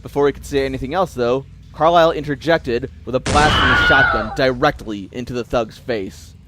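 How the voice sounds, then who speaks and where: A man's voice: mid-range voice, moderate pace, loud music behind the voice. A man delivering dramatic scripted narration for an audio story.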